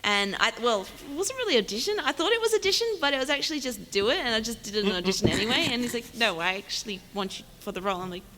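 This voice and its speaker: A woman's voice: on the high side, animated, fast, hardly any pauses, pushed and bright. A woman chatting casually and telling anecdotes as a panelist at a convention. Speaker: convention panelist, fan audio drama performer. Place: large hall at a fan convention. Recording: echoey noisy hall, PA sound.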